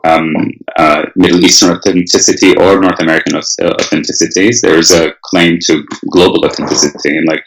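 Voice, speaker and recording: A man's voice: deep voice, a bit bright, low-quality recording. A man who grew up in Canada and Lebanon, talking in a casual and hesitant way with many fillers and restarts. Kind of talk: casual conversation.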